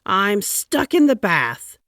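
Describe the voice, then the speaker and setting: A woman's voice: medium pitch, sing-song, slow, a bit bright, dry recording, clean studio sound, pitched above the performer's own voice, full character voice. A woman voicing a huge, blustering, exasperated male president from a children's storybook, emphatic and bellowing. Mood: embarrassed.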